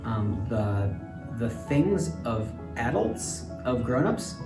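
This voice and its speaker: A man's voice: medium pitch, big pitch swings, slow pace. A man in his thirties answering interview questions in a hesitant, thoughtful way with frequent ums. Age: 30s. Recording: roomy, distant mic, music underneath.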